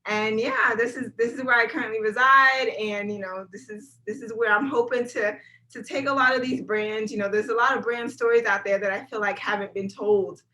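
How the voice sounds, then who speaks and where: A woman's voice: on the high side, steady tone, moderate pace, pushed and bright. A woman from South Florida, a guest on an interview podcast, talking energetically and conversationally, often repeating words as she thinks. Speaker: guest, brand videographer. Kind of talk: casual interview chat.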